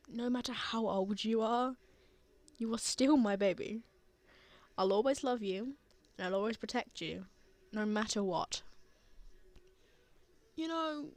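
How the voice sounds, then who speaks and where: A woman playing a gentle, reassuring father who comforts a frightened child in a tender ASMR roleplay. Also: fairly high pitch, no echo. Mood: tender, soothing. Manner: soothing, tender, earnest.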